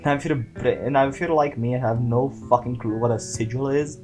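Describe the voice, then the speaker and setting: A man's voice: medium pitch, steady tone, a little fast, a little mellow, soft background music. A man giving casual, informal video commentary in a slangy, sweary way, as a creator talking through an analysis.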